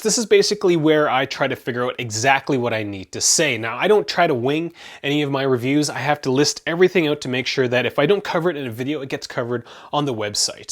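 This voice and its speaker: A man's voice: medium pitch, a bit bright. Chatty Canadian-raised man rambling on. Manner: chatty, rambling, frequent self-corrections and fillers. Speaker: tech reviewer, channel host. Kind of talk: casual talk to camera.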